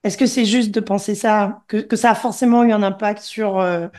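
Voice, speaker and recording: A woman's voice: medium pitch, steady tone, normal speed, mellow. A woman from Gabon, a podcast host who interviews in an engaged, encouraging, conversational way.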